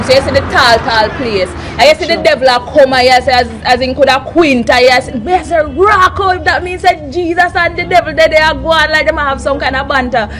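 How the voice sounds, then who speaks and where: Animated Jamaican woman, high voice. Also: background noise. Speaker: reaction video host. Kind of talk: casual reaction commentary.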